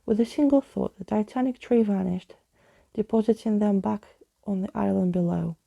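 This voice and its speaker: A woman reads a story in a gentle ASMR manner. Her voice is very dark and mellow, breathy and husky, with heavy creak throughout. She often drops toward a whisper while staying expressive. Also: medium pitch, normal speed. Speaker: ASMR storyteller reading a book aloud. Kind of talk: soft ASMR storybook reading.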